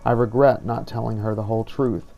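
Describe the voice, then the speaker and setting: A man giving measured, reflective in-character narration of a roleplay video game playthrough. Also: on the low side, steady tone, gravelly, muffled recording.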